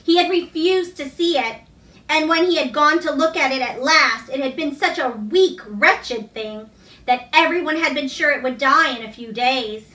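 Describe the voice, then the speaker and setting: A woman's voice: high voice, moderate pace, bright, forceful tone. A woman reading a novel aloud as storybook narration. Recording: echoey room, distant mic.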